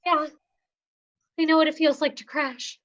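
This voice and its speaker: A woman voicing Dottie, a weary young woman patient with dry, deadpan comebacks, in a dramatized script reading. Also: high-pitched, unhurried, lo-fi sound. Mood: plain. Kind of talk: livestream play script reading.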